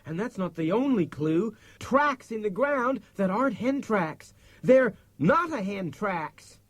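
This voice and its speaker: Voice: medium pitch, lively intonation, slow pace, dry recording. A performer voicing a clever, cocky little male cat, eager and confident, in a children's audiobook. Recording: cassette audiobook with faint music behind.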